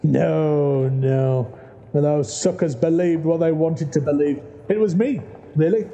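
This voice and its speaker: A man's voice: on the high side, takes it slowly, mellow, muffled recording. An older man playing an old miserly swindler, haunted and muttering, in an audio drama. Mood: gloating.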